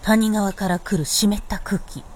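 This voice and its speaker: A woman's voice: mid-range voice, unhurried. A woman narrating a radio drama as a scripted storyteller. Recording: loud music behind narration.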